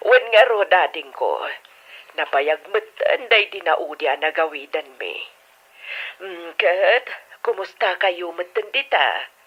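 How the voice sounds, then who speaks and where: Casting: actress as concerned middle-aged Ilocano sister, low, lively voice, admonishing, over a dull phone line. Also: female voice, slow. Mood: concerned, advising. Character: concerned, advising older sister calling from abroad. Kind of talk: Ilocano audio drama acting.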